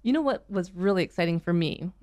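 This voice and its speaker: A woman's voice: fairly low pitch, animated, fast talker, darkish tone. Canadian woman hosting a casual chat show. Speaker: host of a daily sports show.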